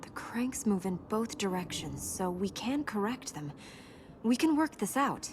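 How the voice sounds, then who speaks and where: A woman playing an earnest, dutiful young princess in a video game, matter-of-fact with a dry, gently teasing wit. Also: medium pitch, sing-song, unhurried. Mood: determined, dry. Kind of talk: video game dialogue acting. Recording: noisy game ambience behind voice.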